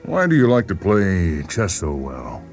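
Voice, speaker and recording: Man acting a low-voiced, placating doctor. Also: wildly swooping pitch, creaky, faint music behind, heavily acted. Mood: patient, resigned. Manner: measured, placating, hesitant. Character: calm, reasoning male doctor humoring a troubled patient. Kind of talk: dramatized short story reading.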